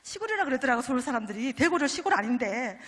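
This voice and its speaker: Middle-aged woman w gyeongsang accent, pastor's wife joking through a sermon, high voice, big echoey church. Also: big pitch swings, quick pace, husky. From Daegu, South Korea. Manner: chatty and joking, teasing the audience with asides. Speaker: pastor's wife speaking at a prayer meeting. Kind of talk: humorous testimony sermon. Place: large church sanctuary. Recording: long reverb of a large church hall.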